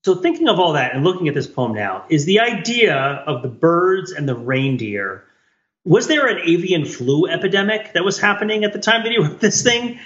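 Higher-voiced man on a dull, roomy recording. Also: big pitch swings, normal speed, fairly bright tone. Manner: questioning, works ideas out aloud. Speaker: podcast co-host. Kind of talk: casual analytical discussion.

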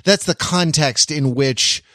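A man's voice: a little high, slow, pushed and bright, dry recording. A man co-hosting a podcast, chatting casually in a wry, anecdotal way. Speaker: podcast co-host. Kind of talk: casual chat.

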